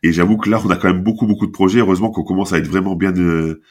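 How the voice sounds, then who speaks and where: A man's voice: deep voice, steady tone, racing, a little mellow. Talkative man with a stuffy cold. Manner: talkative, rambling with many restarts. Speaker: podcast guest, basketball camp founder. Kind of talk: casual interview talk.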